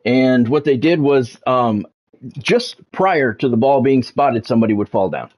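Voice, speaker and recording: Man chatting through sports news, halting delivery. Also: mid-range voice, animated, a little mellow. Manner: halting, repeats words and phrases for emphasis. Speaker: livestream host, former radio play-by-play announcer. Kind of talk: casual livestream chat with sports news updates.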